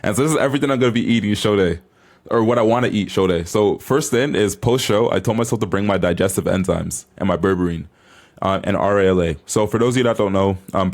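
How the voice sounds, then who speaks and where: A young man vlogging as a bodybuilder, chatting casually to camera in a hyped, informal, slangy way. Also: low-pitched.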